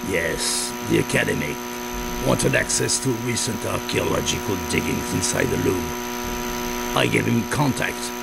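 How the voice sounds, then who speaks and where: An actor playing a wary, tough, sardonic male crime boss in a video game cutscene, with a gravelly voice. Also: on the low side, lively intonation, unhurried, exaggerated voice. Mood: businesslike, guarded. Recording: loud hum and noise behind voice.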